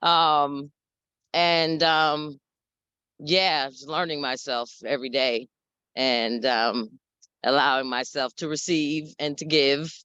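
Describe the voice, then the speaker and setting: A woman with a deep voice and a New York accent, sharing plainly and reflectively in a group video call. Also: steady tone, slow, fairly bright tone, clear, resonant tone, dry recording, dull audio. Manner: straightforward, reflective, laughs between thoughts. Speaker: group participant.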